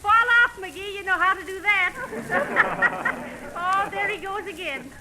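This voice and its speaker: An actress playing a wry middle-aged wife who scolds and teases in a folksy way in a 1940s radio comedy. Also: female voice, very high voice, unhurried, bright, forceful tone, heavily acted. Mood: amused, teasing. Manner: dry, folksy, needling. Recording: noisy vintage 1940s radio recording.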